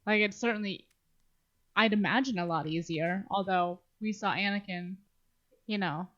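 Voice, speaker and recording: A woman's voice: mid-range voice, normal speed, a bit bright, lo-fi sound. A woman co-hosting a podcast in casual discussion, talking informally and swearing now and then. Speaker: podcast co-host.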